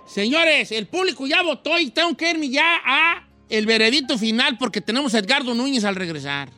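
A man runs a call-in radio show in a very high, piercing voice. His delivery is bright and punchy, full of teasing slang as he rushes from caller to caller. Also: expressive, soft background music. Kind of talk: playful rapid banter taking calls.

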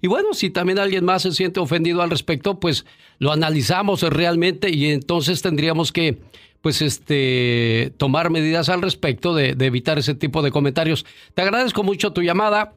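A man hosting a radio call-in show, brisk and defensive with callers. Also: fairly high pitch, fairly bright tone.

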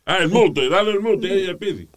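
A man's voice: high voice, expressive, a little fast. A male co-host trading brash, teasing remarks in casual studio banter.

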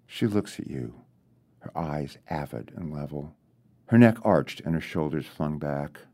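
A man reading his own short story as literary narration, deliberate and with dramatic pauses. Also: extremely low pitch, expressive, dark tone.

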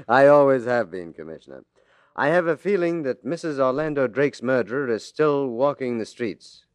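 An actor playing a hard-boiled, shrewd, musing police detective in an old-time radio mystery. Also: male voice, mid-range voice, lively intonation, moderate pace, no echo, close to an everyday voice. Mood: musing, probing.

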